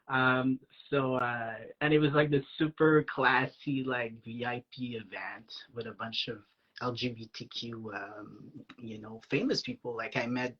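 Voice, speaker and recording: Husky francophone man telling stories. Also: medium pitch, slow pace, muffled recording. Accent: French Canadian. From Canada. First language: French. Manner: animated, acts out quoted lines, many fillers. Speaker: guest, aspiring actor. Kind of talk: casual storytelling.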